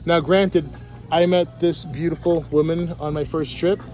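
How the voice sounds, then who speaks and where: A man's voice: on the high side, fairly even intonation, a little slow, a little mellow, rough recording. A man with a Puerto Rican accent, vlogging casually by a pool and talking into a handheld camera, rambling with restarts and fillers. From Puerto Rico. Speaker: travel vlogger. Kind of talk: casual travel vlog. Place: outdoors at a resort pool.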